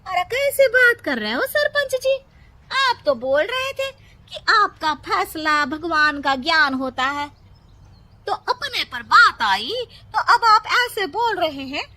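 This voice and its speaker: A woman's voice: very high voice, sing-song, slow, sharp tone, voice pushed up, exaggerated voice. A woman voicing an agitated, superstitious villager in a cartoon, scolding and exclaiming.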